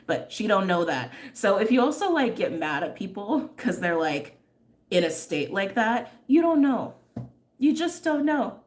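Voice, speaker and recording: A young woman with a slightly wheezy voice from asthma, chatting candidly on a livestream and reading out viewer comments, full of fillers and quick laughs. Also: lowish voice. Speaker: tarot reader and livestream host.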